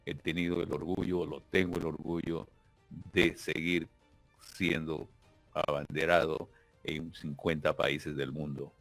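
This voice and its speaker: Peruvian male candidate, emphatic and solemn; deep, slow delivery. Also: level tone, fairly bright tone, no room sound, soft background music. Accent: Peruvian Spanish. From Peru. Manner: solemn, emphatic, repeats words while searching. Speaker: political candidate. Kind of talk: campaign interview speech.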